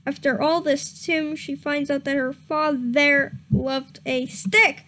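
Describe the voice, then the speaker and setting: A woman's voice: high-pitched, fairly even intonation, slow, pushed and bright. A woman reading a story aloud in a comedic, mock-dramatic way, stumbling over words and dropping into casual asides. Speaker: streamer reading fan fiction aloud.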